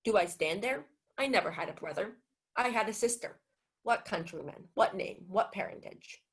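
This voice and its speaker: A gallant, tender young gentleman, played by a young woman in a medium-pitched voice. The delivery is earnest, in the manner of Shakespeare radio drama. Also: lively intonation, normal speed, slightly rough. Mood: amazed. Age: young adult. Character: gallant, tender young man, long-lost twin brother. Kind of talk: 1940s radio play Shakespeare acting.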